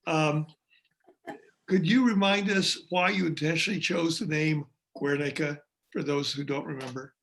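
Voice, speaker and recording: Pastor guy hosting a video call chat, kinda hesitant, voice a bit high for a man. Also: fairly even intonation, fairly bright tone. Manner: hesitant, halting, with many fillers and a dry joke. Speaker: pastor, interview host. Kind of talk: hosting a parish online interview.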